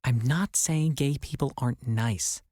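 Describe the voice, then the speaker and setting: A man's voice: mid-range voice, measured pace, darkish tone, no room sound, studio quality, close to an everyday voice. A man voicing a fretful, disapproving middle-aged mother who talks in an indignant, insistent manner. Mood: prim discomfort.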